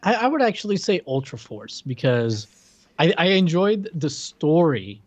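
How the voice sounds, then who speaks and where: A man's voice: on the high side, big pitch swings, a little slow, no room sound. A man co-hosting a podcast in casual chat, often stammering and restarting his sentences. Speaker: podcast co-host.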